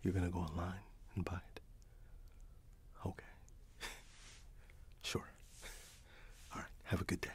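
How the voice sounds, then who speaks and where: Casting: male actor as friendly middle-aged candy man, low soft whisper, slow and welcoming, ASMR roleplay, noisy recording. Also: completely flat delivery. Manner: gentle, welcoming, hesitant. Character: friendly, wistful candy shop owner greeting customers.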